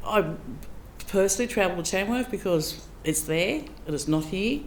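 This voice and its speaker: A woman's voice: mid-range voice, animated, moderate pace, slight background noise. A middle-aged woman giving a chatty, plain-spoken candidate speech at a public forum. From Australia. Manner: plain, chatty, with frequent ums. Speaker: council candidate.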